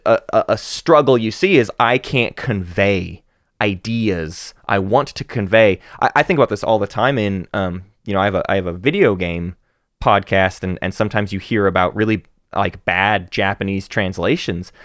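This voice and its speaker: A man co-hosting a casual book podcast, talking about and reading poetry with animated emphasis and halting restarts. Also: on the low side, no echo, studio quality. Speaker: podcast co-host.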